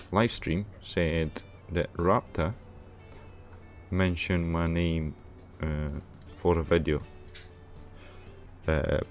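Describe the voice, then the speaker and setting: A man from Romania hosting a casual gaming livestream, thinking aloud in a halting, hesitant way. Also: sits low. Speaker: livestream host playing a game.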